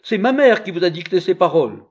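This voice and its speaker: An uncanny infant boy speaks up high and boldly, scolding the grown-ups around him, as a man puts him on for a storybook. The voice jumps up and down, with a slight husk. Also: huge pitch swings, moderate pace, full character voice. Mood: accusing. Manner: bold, scolding.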